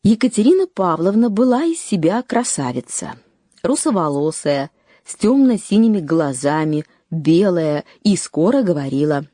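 Woman narrating in a low, mellow voice. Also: lively intonation, no echo, clean studio sound. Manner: measured reading, lifting pitch on key phrases. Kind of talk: scripted documentary narration.

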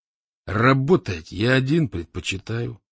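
A man's voice: mid-range voice, expressive, normal speed, husky, no room sound. A man reading an audiobook as a surly male copywriter, a laconic loner with a dry manner. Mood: curt, dry.

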